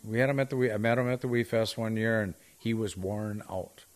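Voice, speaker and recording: Low-pitched male podcast co-host, easygoing storyteller who pokes fun at himself. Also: brisk. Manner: jokey, self-deprecating storyteller. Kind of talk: casual chat.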